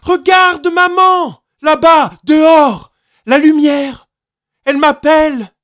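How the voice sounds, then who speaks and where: A man voicing a young boy who is excitable and defiant, speaking high and urgent in a storybook reading. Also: a little slow. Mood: excited pleading.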